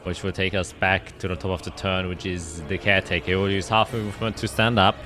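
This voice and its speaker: A man's voice: sits low, flat delivery, a little fast, sharp tone, faint music behind. A man narrating a tabletop roleplaying game as game master, describing scenes and calling out dice results.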